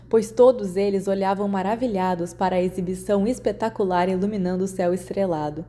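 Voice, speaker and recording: A woman's voice: mid-range voice, mellow. A woman with a Brazilian accent reading a scripted story as an audiobook narrator.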